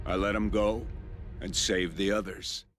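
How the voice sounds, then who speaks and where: Deep, stern American military commander, acted by a man. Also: flat delivery, unhurried, music in the background, natural voice. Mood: grave. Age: middle-aged. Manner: grave, authoritative. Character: stern veteran special forces commander and proud father. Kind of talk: cinematic military game dialogue and narration. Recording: loud score and vehicle noise behind.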